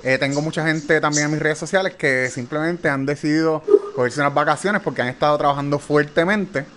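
A man hosting a live interview, asking measured questions and often checking in with the guest. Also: on the high side, fairly bright tone, a little hiss or hum. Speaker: interview host.

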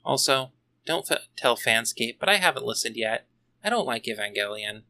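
A man's voice: mid-range voice, expressive, normal speed, pushed and bright. A man hosting a solo podcast, delivering a scripted review with wry, tongue-in-cheek asides. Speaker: podcast host reviewing comics.

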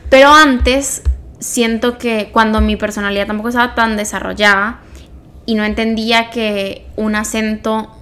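Woman, young adult, Venezuelan accent, slightly high pitch, casual podcast chat, self-correcting storyteller. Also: fairly even intonation, normal speed, fairly bright tone. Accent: Venezuelan Spanish. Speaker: podcast co-host.

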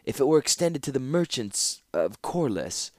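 A man's voice: medium pitch, big pitch swings, normal speed, a little mellow, no room sound, studio quality, voice pushed up, lightly acted. A man doing a stammering, nervous court official. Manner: stammering, hesitant.

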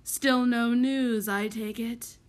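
A woman's voice: on the high side, fairly even intonation, slow pace. A woman voicing a pompous, self-important lord in a storybook, blustering with indignation and emphasis. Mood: probing. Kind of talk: acted storybook dialogue.

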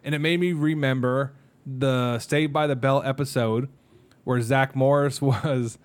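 Joking podcast co-host, casual banter. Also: male voice, mid-range voice. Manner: jokey, animated storyteller who does quick impressions. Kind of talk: casual chat.

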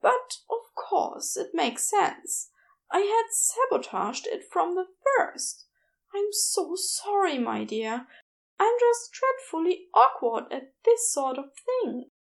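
Woman voicing a high, flustered, gentle man. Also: very animated, takes it slowly, full character voice. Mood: flustered, rambling. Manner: breathy, prim, self-deprecating. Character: flustered, gentle, apologetic man, awkward doctor. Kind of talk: audiobook character dialogue.

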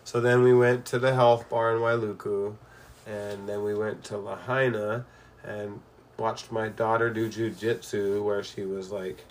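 A middle-aged man chatting casually in a bedroom, telling rambling stories in a relaxed way. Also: lowish voice, measured pace. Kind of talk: casual chat.

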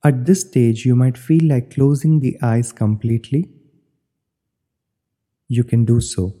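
A man leading a guided meditation as an instructor, giving measured, step-by-step directions. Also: medium pitch, dark tone. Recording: long hollow reverb.

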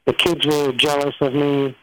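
A man's voice: a little high, little pitch movement, moderate pace, fairly bright tone, slight background noise, rough recording. Rambling New man. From New York. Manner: rambling, many fillers and restarts. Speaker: guest. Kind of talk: casual storytelling interview.

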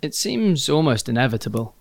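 A man's voice: mid-range voice, very animated, brisk, vocal fry, dry recording. A British man co-hosting a podcast, explaining things thoughtfully with careful diction. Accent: British English. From Britain. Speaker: podcast co-host. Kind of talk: casual podcast chat.

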